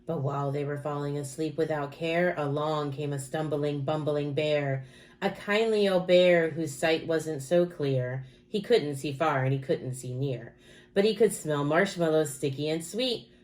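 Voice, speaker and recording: A woman teacher reading a rhyming picture book aloud to children in an expressive, rhythmic way with dramatic emphasis. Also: deep voice, unhurried.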